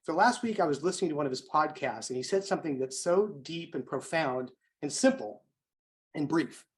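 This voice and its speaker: An American man speaking as an event host, delivering an emphatic, rhetorical motivational introduction with deliberate phrasing. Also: a little high, animated. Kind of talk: motivational speech introducing a guest.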